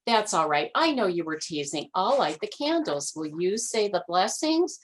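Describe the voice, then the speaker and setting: A woman's voice: on the low side, very animated, normal speed. A woman voicing a kind, fussy old grandmother who talks in a sing-song, chatty way in a children's story. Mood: fussing.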